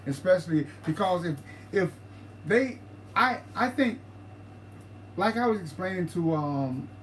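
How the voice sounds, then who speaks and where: A man co-hosting a paranormal livestream, talking casually, halting and repeating words as he explains his ideas. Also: sits high, lively intonation, a little hiss or hum, poor audio.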